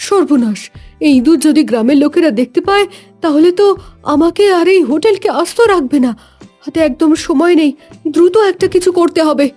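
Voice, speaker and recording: A woman's voice: high voice, a little fast, mellow, lightly acted. A woman playing a hard-working village wife who cooks and runs a food hotel, in a cartoon dub. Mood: alarmed. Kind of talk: Bengali cartoon dubbing. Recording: music behind the voice.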